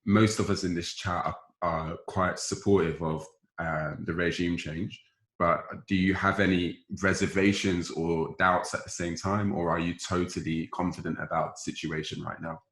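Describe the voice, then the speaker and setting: Male discussion host, very low voice, halting and probing, casual, reverberant pickup. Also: level tone, fairly bright tone. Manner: halting, probing questioner who rephrases as they go. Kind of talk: casual group discussion moderating. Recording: roomy, echoing voice pickup.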